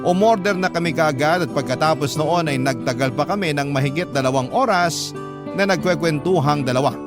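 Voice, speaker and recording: Male narrator, confessional storytelling, slightly high, guitar bed. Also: a little fast. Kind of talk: scripted first-person drama narration. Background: guitar music.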